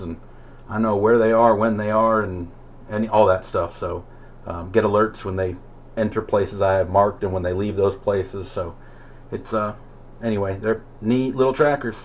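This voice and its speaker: A middle-aged American man chatting casually over CB radio in a rambling, hesitant way. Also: fairly low pitch. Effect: CB radio transmission. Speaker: amateur CB radio operator. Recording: muffled CB radio signal with static noise.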